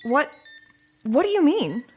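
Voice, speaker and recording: A woman's voice: high-pitched, very animated, measured pace, darkish tone, faint music behind. A woman playing a blunt, exasperated member of an agent team in a comedy audio drama. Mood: incredulous.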